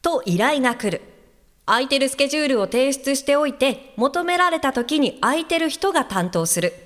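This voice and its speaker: A woman's voice: high-pitched, expressive, normal speed, fairly bright tone. A woman playing a perky, nosy guide helper with a teasing, exclamatory, slangy way of talking in an audio drama. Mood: reflective. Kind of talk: audio drama acting with narration.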